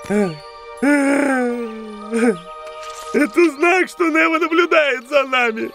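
An actor voicing a big, whiny, lovable cartoon bear in a children's show, with a melodramatic, drawn-out delivery. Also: high voice, creaky, fairly bright tone, faint music behind, heavily acted. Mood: earnest. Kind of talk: animated children's cartoon dub.

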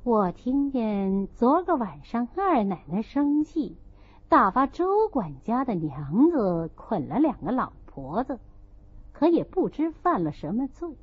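A woman's voice: on the high side, big pitch swings, slow, slightly rough, exaggerated voice. A woman narrator voicing a resentful older noblewoman who pleads with pointed mock humility in a storybook reading. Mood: mock-polite resentment. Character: resentful older noblewoman, feigning politeness, needling. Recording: dull, lo-fi audio.

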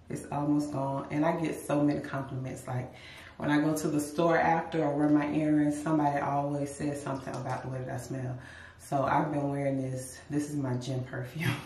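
A woman's voice: low voice, slight background noise. A woman, chatting casually to camera at home in a vlog. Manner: relaxed, chatty, addresses viewers as y'all. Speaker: lifestyle vlogger. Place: bedroom at home, later a car. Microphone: camera a few feet away. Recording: roomy echo, distant from the mic.

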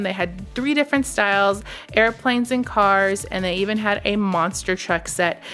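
A woman's voice: medium pitch, a bit bright. A woman in her thirties, a mother and channel host, narrating a scripted voice-over in an upbeat, recommending tone. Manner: upbeat, recommending toys to parents.

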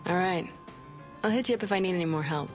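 A woman's voice: fairly low pitch, quick pace, natural voice. A woman playing a tough, impatient gang boss in a video game, blunt and casual in manner. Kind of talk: video game cutscene acting. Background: background music.

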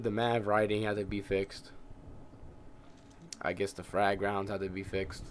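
A young man with a tired, scratchy voice, rambling and swearing in casual commentary over his gameplay. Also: fairly low pitch. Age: young adult. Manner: rambling, ranting, heavy swearing. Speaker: gamer.

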